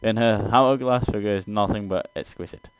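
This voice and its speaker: A man's voice: lowish voice, normal speed, background noise. A man doing a let's play, reading a text game aloud and reacting casually.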